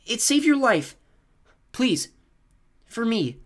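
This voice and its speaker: A man's voice: big pitch swings, slow pace, exaggerated voice. A man doing a pleading teenage girl. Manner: pleading, high and emotional.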